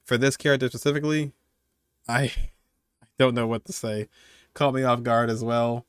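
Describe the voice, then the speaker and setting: A man's voice: medium pitch, steady tone, moderate pace, smooth, no echo, clean studio sound. A man giving casual, slangy, conversational commentary as host of a video game countdown. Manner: slangy, conversational, chuckling asides.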